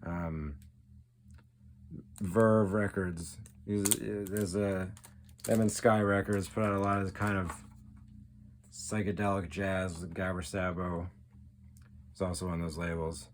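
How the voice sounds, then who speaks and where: Low-voiced man, casual enthusiast. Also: level tone, slow pace, pauses often, a little hiss or hum. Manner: enthusiastic, rambling, geeky. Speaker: record collector, video host. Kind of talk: casual show-and-tell, off the cuff.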